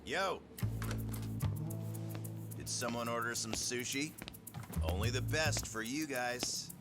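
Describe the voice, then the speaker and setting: A man's voice: mid-range voice, takes it slowly, over loud music. An actor playing a cheery, cocky male sidekick in a video game, casual and showy. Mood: breezy. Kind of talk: English video game dub, cutscene acting.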